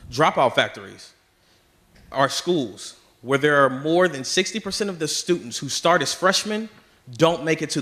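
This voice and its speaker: A man's voice: mid-range voice, bright, forceful tone. A young man, a student leader, firmly reading statistics from a prepared statement. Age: young adult.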